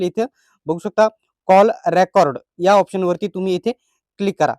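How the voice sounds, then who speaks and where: A man's voice: sits high, darkish tone, no room sound, clean studio sound. A man with a Marathi accent presents a step-by-step phone tutorial, instructing plainly and repeating each step.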